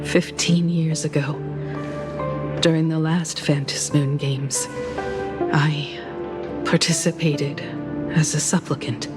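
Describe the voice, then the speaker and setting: An actress voicing a somber, composed woman haunted by the past, speaking in a measured, reflective way in a video game. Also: low voice, little pitch movement. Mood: wistful, bitter. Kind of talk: dramatic video game voice acting. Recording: loud music under the voice. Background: game music.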